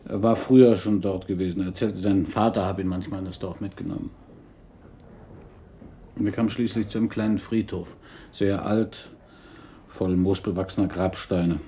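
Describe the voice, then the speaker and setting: Wry, unruffled male witness, radio crime play: low-leaning, dark timbre, unhurried. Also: steady tone, slight background noise. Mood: reflective, nonchalant. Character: wry, nonchalant man, a slightly wounded shooting victim. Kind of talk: radio crime drama acting.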